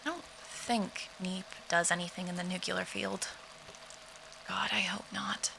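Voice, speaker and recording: An actress playing an uneasy, wry field investigator in an audio drama log, speaking in a hesitant, musing, conversational way. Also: female voice, on the low side, little pitch movement, measured pace. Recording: clear ambient noise behind.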